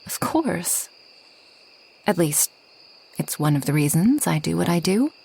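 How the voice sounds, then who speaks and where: A woman playing a reclusive, ageless forest witch in a soft ASMR roleplay, gentle and wry, often slipping toward a whisper. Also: lowish voice, sing-song, measured pace, vocal fry, slight background noise, full character voice. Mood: calm, reminiscing. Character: reclusive, centuries-old kind but proud forest witch.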